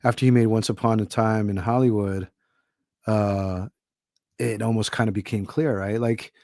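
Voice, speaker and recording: A man's voice: lowish voice, fairly even intonation, normal speed, darkish tone, dry recording, studio quality. A middle-aged man from Hawaii, an author guesting on a podcast, talking in a thoughtful, rambling way with many fillers. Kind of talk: casual podcast conversation.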